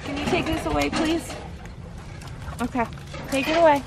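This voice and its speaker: Woman, thirties, slightly high pitch, informal playful co-host, noisy outdoor camera sound. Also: fairly even intonation, normal speed, vocal fry. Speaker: vlog co-host filming the work. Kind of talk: casual chat while working. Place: outdoors at a barn work site.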